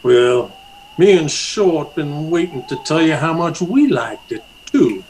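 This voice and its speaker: A man's voice: a little high, very animated, slow pace, darkish tone, a little hiss or hum, low-quality recording. An actor playing a spiteful, threatening, rustic gunman bully in a Western radio drama. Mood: menacing. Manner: rustic, menacing. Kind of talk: old-time Western radio acting.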